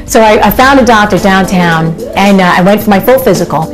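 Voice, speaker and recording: A woman speaks casually in an interview, leaning on fillers as she recounts her own experience. Her voice sits at an ordinary middle pitch, and soft music plays underneath. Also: a little fast, low-quality recording. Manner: conversational, many fillers like "you know" and "uh". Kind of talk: personal account in an interview.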